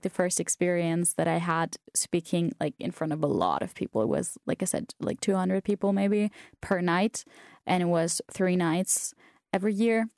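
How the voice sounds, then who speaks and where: A woman's voice: medium pitch, a little mellow, no room sound, studio quality. A young woman whose first language is German, telling stories casually in an interview, with lots of fillers and restarts. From South Tyrol, Italy. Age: young adult. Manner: chatty, many fillers and restarts. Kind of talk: casual conversational storytelling.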